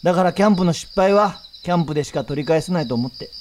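An earnest young man played by a male actor in a radio drama about friends. His voice sits a little high and natural, with faint night-insect ambience behind it. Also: racing, darkish tone, a little hiss or hum, close to an everyday voice. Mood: sincere, apologetic.

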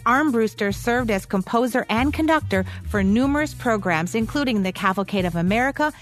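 A woman's voice: a little high, expressive, brisk, faint music behind. A woman co-hosting a podcast, reading scripted narration about radio history. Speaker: podcast co-host.

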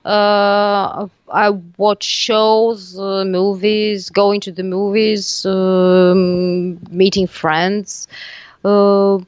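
A woman whose first language is Serbian speaks as an interview guest, hesitating and restarting often. Her voice is smooth and clean, recorded dry with slightly muffled highs. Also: flat delivery, very slow, no echo. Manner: hesitant, many fillers and restarts. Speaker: musician guest. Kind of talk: casual interview chat. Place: living in Tunisia.

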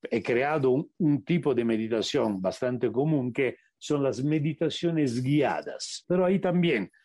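A man with an Italian accent talks as a meditation teacher on a radio show, searching for his words and slowing down to stress key points. His pitch rises and falls a lot. The recording is dry and close. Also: expressive, a little mellow, no echo. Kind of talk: reflective interview answers.

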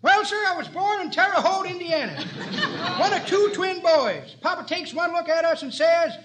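A man's voice: very high voice, normal speed, crowd noise. An actor playing a rambling, folksy comic old man in a 1940s radio comedy. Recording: noisy vintage 1940s radio recording.

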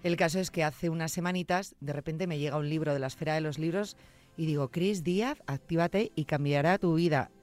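A woman from Zamora, Spain, hosting a podcast interview in an expressive, exclamatory way with wide swings in pitch. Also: brisk, soft background music. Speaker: podcast host. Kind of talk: casual interview.

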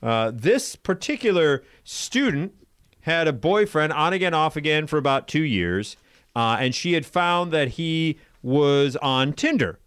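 Smooth-voiced man bantering on a show. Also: fairly high pitch, animated, unhurried, fairly bright tone, no room sound, studio quality. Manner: jokey, retells stories with mock voices. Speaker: co-host. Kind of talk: casual banter about odd news.

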